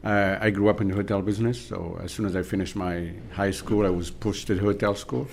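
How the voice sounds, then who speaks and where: A very deep-voiced man who grew up in southern France talks through his career in a relaxed, easygoing interview. He speaks with fillers, and faint noise sits under his voice. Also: fairly quick, gravelly. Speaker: hotel general manager. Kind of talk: casual interview answers.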